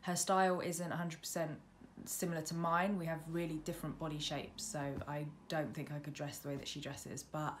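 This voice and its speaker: A woman's voice: deep voice, level tone, a little fast, hardly any pauses. A woman in her mid-twenties with a British accent, chatting casually to camera as a vlogger in an informal, self-deprecating way. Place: indoors, bedroom at a window facing a road. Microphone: camera on windowsill, about arm's length. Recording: noisy room by a busy road. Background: noise, passing traffic.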